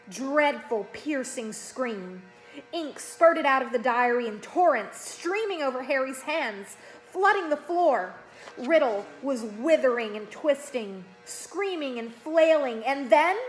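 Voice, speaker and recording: A woman reading a children's fantasy novel aloud as an expressive, dramatic narrator. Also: fairly high pitch, rough recording. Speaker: storybook reader.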